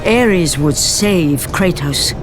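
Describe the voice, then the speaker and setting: A woman with a low voice narrating a dramatic story in a grave, measured, theatrical manner. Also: animated. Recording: dramatic music behind the voice.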